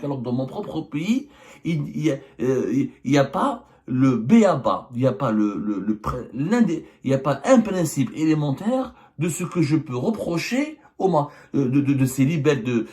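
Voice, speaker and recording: A man, a journalist and channel host delivering a political commentary monologue, emphatic and full of rhetorical questions. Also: a little high. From Algeria. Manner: hesitant fillers and restarts.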